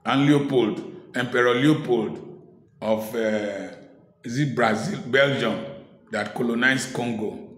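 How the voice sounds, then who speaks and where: A middle-aged man from Africa preaching to the camera in an emphatic way, repeating key words and adding sharp humor. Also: mid-range voice, takes it slowly, reverberant. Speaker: preacher and speaker. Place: indoor room at home, seated in office chair.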